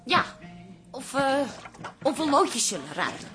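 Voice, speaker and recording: A woman's voice: high voice, big pitch swings, unhurried, over music. An actress playing a conciliatory, evasive grown woman, a daughter and wife, hesitant and placating in a radio comedy drama.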